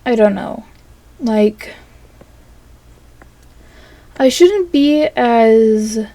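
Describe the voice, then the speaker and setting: A woman recording a casual, rambling audio log monologue in a hesitant, halting way that trails off between phrases. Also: fairly high pitch, animated, mellow, no room sound.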